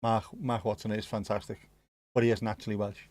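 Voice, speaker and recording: A man's voice: on the low side, level tone, brisk, a little mellow, dry recording. A man with a Scouse accent, hosting a livestream and chatting casually with viewers, joking and swearing now and then. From Liverpool area, England. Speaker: livestream host. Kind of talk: casual chat reacting to live chat.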